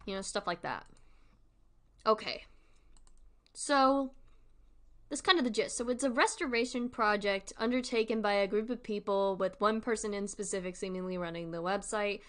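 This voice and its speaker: A woman's voice: mid-range voice, normal speed, a bit bright. A woman hosting a livestream with casual, informal commentary, rambling and thinking aloud as she goes. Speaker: livestream host.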